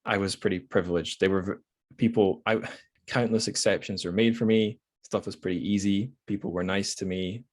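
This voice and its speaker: Low-voiced man talking books. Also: steady tone, moderate pace. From Scotland. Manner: hesitant, self-correcting, rambling. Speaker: podcast host. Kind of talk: casual book discussion.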